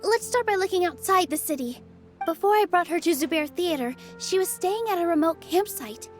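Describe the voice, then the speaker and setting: A woman playing a gentle, earnest young theater dancer in a video game. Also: very high voice, expressive, moderate pace, soft background music. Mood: earnest, determined.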